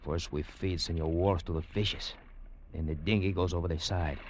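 A noisy vintage radio drama recording carries an actor as a scheming Cuban police lieutenant. His voice sits low, with a Cuban Spanish accent. He speaks with elaborate, apologetic courtesy. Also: steady tone, normal speed, natural voice. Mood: calm, commanding. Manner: formal, polite, over-apologetic phrasing. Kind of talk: 1950s radio adventure drama acting.

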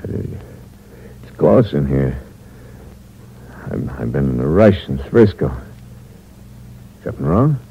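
An actor playing a cold, scheming impostor and killer in a 1940s radio mystery, terse and slangy in a hard-boiled way. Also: male voice, very deep voice, sing-song, slow, gravelly, pauses often, breathy edge, full character voice. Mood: uneasy, bluffing. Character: scheming cold male impostor and killer narrating his crime. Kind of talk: 1940s radio mystery acting, confessional narration. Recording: muffled old radio recording, background hiss.

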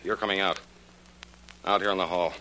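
An actor playing a shifty, nervous husband with a murderous streak in a 1940s radio drama. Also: male voice, low-pitched, steady tone, moderate pace. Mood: menacing. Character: nervous, shifty husband turned menacing wife killer. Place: radio studio. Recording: noisy, bright vintage radio recording.

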